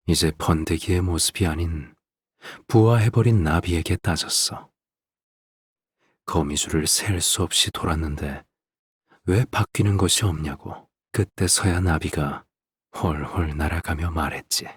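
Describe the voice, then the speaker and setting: Game role: an eerily calm, possessive father; low, very breathy husky voice, lingering pauses. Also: no room sound, studio quality, full character voice. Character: quiet, possessive, hollow-hearted father of a daughter. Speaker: game character voice. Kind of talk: dramatic game voice acting, slow monologue.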